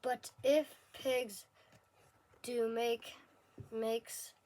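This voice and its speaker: A woman's voice: fairly high pitch, fairly even intonation, extremely slow, background noise. A woman reading a children's picture book aloud word by word, stumbling and correcting herself as she goes. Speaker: story reader.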